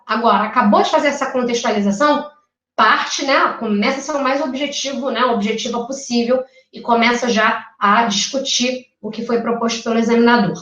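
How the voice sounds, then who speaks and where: A woman's voice: on the high side, a bit bright, highs cut off. Carioca woman teaching, roomy and distant. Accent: Carioca Brazilian Portuguese. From Rio de Janeiro, Brazil. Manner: chatty, checks in with "tá?" and "né?". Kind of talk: live online exam-prep lecture.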